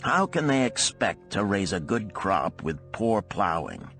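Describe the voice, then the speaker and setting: A man's voice: low voice, very animated, measured pace, fairly bright tone, faint music behind, pitched above the performer's own voice, full character voice. A man playing an earnest, hard-working enslaved farmer in an audiobook, plain-spoken and emphatic. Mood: scornful.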